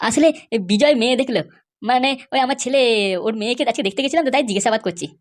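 A man voicing a cheeky, nagging middle-aged father in a comic cartoon, brash and mocking, with a pitched-up voice. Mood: businesslike. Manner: brash, mocking, fast-talking.

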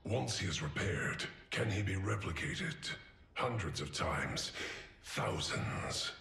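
An actor playing a formal, imperious otherworldly male servant of a deity in a video game, measured and commanding. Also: deep voice, expressive, creaky, slight background noise, exaggerated voice. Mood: solemn. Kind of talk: video game cutscene acting. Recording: echoey voice over loud music.

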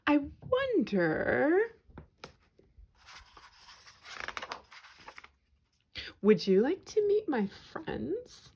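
Woman as an eager little bird, high and lilting. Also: huge pitch swings, slow, a little mellow, heavily acted. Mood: bright, chirpy. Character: small, friendly, eager young female bird in a storybook.

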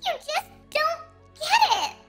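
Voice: extremely high pitch, big pitch swings, slow pace, loud music behind the voice, exaggerated voice. A performer voicing a melodramatic, whiny little girl in a game role-play, full of self-pity and blunt remarks. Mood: glum, hurt.

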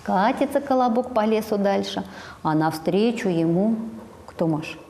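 A woman in her forties, a speech therapist, telling a fairy tale to a small child slowly and prompting her to finish the words, recorded on a lapel mic in a bright studio. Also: mid-range voice, lively intonation, big-room sound, slight background noise.

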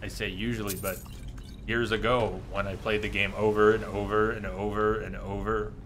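Guy streaming a game, lowish voice, rambly casual chat with viewers, noisy game ambience behind him. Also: little pitch movement, moderate pace, a bit bright. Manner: musing, chatting with viewers between game remarks. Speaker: video game livestream host. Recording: clear background noise from game ambience.

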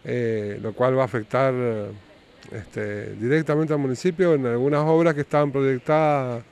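Mid-pitched Argentine man, outdoor interview. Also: moderate pace, a little mellow, clean tone. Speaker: city councillor being interviewed. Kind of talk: spontaneous interview answer. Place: outdoors by a building. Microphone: handheld reporter microphone. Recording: outdoor street noise with vehicles.

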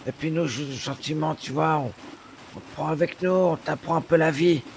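Guy roleplaying in an online game, creaky voice, fairly high, faint noise behind. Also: steady tone, moderate pace. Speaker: fellow roleplay player. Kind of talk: in-character casual game roleplay chat.

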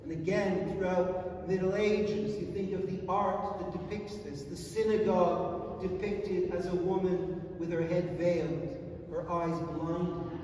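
Preaching priest in an echoey church. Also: male voice, sits high, steady tone, darkish tone, distant mic, slight background noise. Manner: measured, emphatic preaching with long pauses. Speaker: Orthodox priest. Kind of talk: sermon. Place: large stone church. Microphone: far camera.

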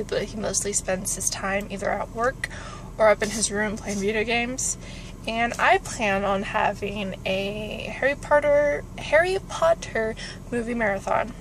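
A woman, chatting in an informal, rambling way for a car vlog, recorded on a phone inside a car. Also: a little high. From California, United States. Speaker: vlogger. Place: inside a parked car with engine warming up. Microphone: phone at arm's length. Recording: car interior with clear background noise.

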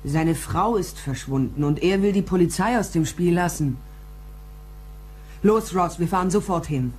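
A woman's voice: fairly low pitch, animated, unhurried, a little mellow, breathy edge. An actress playing a driven female private detective and former policewoman in a German crime radio play, reflective and probing. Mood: urgent. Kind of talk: German crime radio play, first-person narration and dialogue.

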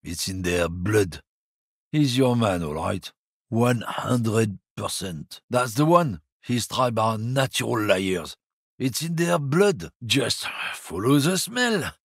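Swaggering middle-aged trucker, husky voice, bouncy sneering delivery, dry studio sound, crude and boastful. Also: male voice, fairly low pitch, lively intonation, slow pace, no echo, exaggerated voice. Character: bigoted middle-aged male lorry driver. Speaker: video game character.

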